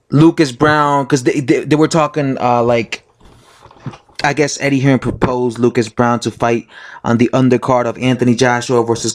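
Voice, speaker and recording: A man with a hoarse voice from being sick, giving a casual, rambling opinion monologue as a boxing vlogger, full of fillers and self-corrections. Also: mid-range voice.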